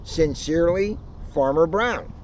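Older guy doing an outdoor storytime, slow, pretty high voice for a man, tosses in jokes, a bit of background noise. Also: highs cut off. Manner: dramatic reading with joking asides. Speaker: police officer reading aloud. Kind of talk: expressive children's story read-aloud. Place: outdoors on an open grassy lawn. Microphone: camera a short distance away.

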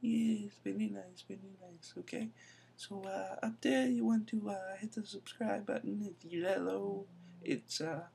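A playful young man with a put-on Mexican accent, as a vlogger does the bit, his voice pushed well above his usual pitch. He speaks softer than usual and teases his viewers. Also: fairly even intonation, unhurried, gravelly, slightly rough, heavily acted.

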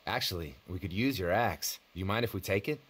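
An actor playing an easygoing, conciliatory ex-military survivor in a zombie video game. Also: male voice, low voice, a little fast, a bit bright, breathy edge. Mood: reasonable. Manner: conciliatory, reasonable. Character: easygoing, weakened male ex-military survivor, reasonable peacemaker.

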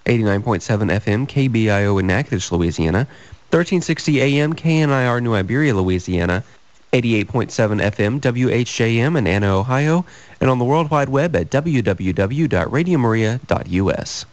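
A man reading a scripted radio station identification as a measured list. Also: mid-range voice, expressive.

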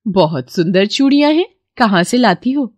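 A woman voicing chatty village women eagerly picking bangles in an animated story. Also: medium pitch, moderate pace, no echo, clean studio sound, close to an everyday voice.

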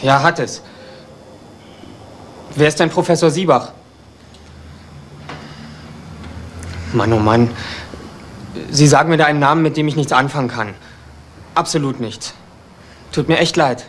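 A man's voice: a little high, moderate pace, pauses often, fairly bright tone, natural voice. An actor playing a cheeky, defiant young homeless man in a 1980s German crime radio drama, talking in colloquial, indignant, repetitive bursts. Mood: defiant, evasive. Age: young adult. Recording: noisy outdoor street scene with traffic.

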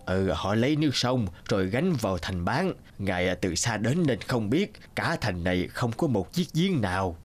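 A man voicing a weary, humble old water carrier with a low, hesitant voice in an animated folk tale. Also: moderate pace, hardly any pauses. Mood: deferential, explaining.

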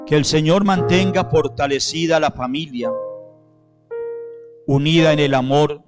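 Solemn priest over soft piano. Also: male voice, on the high side, fairly even intonation. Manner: slow, measured, solemn phrasing. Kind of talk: liturgical prayer and renewal of baptismal promises. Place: church sanctuary, at the altar. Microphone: handheld microphone. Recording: piano music under the voice. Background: soft piano music.

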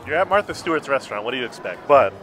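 Thirties male food vlogger, gushing, lapel mic in a busy restaurant, music underneath, mid-pitched voice. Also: very animated, rapid-fire, fairly bright tone. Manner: enthusiastic, gushing about food. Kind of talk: casual restaurant review. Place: busy indoor restaurant patio.

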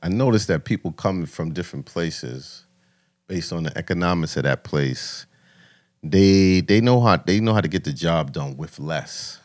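Very deep-voiced American male podcast host. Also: moderate pace, a little mellow. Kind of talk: casual interview chat. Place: small podcast studio with acoustic foam. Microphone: close studio mic on boom arm.

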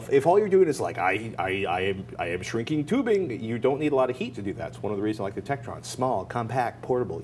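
A man's voice: medium pitch, a little mellow, a little hiss or hum. A man in his forties with an American accent, talking casually and emphatically as a show co-host. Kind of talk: casual explaining and sponsor reads. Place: TV studio at a desk.

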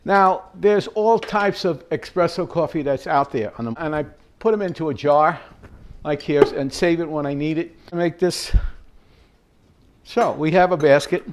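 A man's voice: a little high, moderate pace, slight background noise. An older man hosting a home cooking show, chatting casually as he demonstrates in his kitchen, rambling with fillers and chuckles. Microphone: fixed camera at mid distance.

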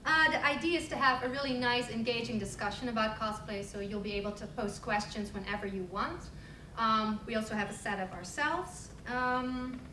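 A young woman moderates a panel informally, chatting brightly. Her voice sits a little high and reaches us from some distance in an echoey conference room. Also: normal speed, slight background noise. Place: conference room with audience, table microphone.

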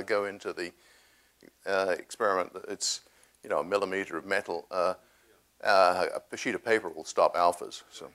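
A man giving a public science talk, explaining his experiments with many pauses, fillers and restarts. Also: low voice, a bit bright. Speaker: research scientist presenting a talk. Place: cafe or hall at a public science event.

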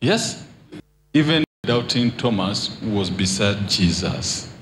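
A man leading a prophecy session, preaching slowly and deliberately into a handheld mic in a large church hall. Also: on the low side, expressive, fairly bright tone, a little hiss or hum. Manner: slow, deliberate preaching with emphatic lines.

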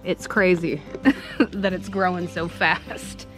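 A woman's voice: fairly low pitch, fairly even intonation, slow pace, a bit bright, soft background music, low-quality recording. A woman in her thirties chatting casually outdoors in her garden, giving an enthusiastic update with many fillers. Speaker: homesteading vlogger. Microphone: handheld camera at arm's length.

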